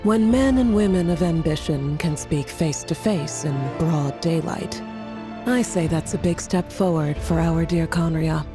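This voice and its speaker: A suave female schemer speaks in a video game with arch, sardonic politeness. Her voice sits low, with music clearly behind it. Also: unhurried. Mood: smug, taunting. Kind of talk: dramatic video game voice acting.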